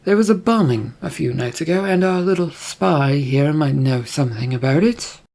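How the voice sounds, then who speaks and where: Narrator acting out imperious fantasy queen, husky, commanding, probing, storybook dialogue. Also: medium pitch, expressive, moderate pace, a little mellow. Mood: stern, probing.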